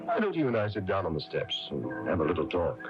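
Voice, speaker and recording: An actor playing a kindly, chatty small-town minister in a radio drama, coaxing and avuncular, with hesitant phrasing. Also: male voice, low voice, huge pitch swings, quick pace, over loud music. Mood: friendly, coaxing. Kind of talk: 1980s radio drama acting. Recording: dull, noisy AM radio recording.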